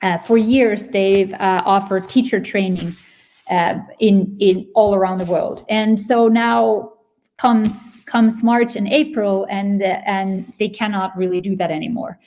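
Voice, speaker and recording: A woman's voice: medium pitch, fairly even intonation, measured pace, darkish tone. Finnish-accented woman presenting a webinar. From Finland. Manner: explanatory, searches for words mid-sentence. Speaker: company presenter.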